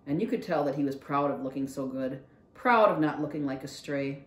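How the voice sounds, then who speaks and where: A woman's voice: deep voice, brisk. A woman narrating a children's storybook aloud expressively, as a library read-along reader. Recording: roomy echo.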